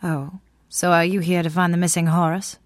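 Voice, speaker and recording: A woman's voice: fairly low pitch, flat delivery, moderate pace, no echo, lightly acted. A woman playing a spirited, inquisitive girl Friday in an old-time radio drama parody. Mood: exasperated, curious.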